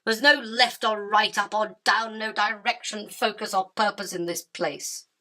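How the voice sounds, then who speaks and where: Woman acting an imperious, irritable spider queen, bright-voiced. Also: mid-range voice, steady tone, unhurried. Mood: bleak. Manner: imperious, haranguing, florid insults. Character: bossy, irritable, ageing female spider longing for her lost throne. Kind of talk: theatrical radio drama acting.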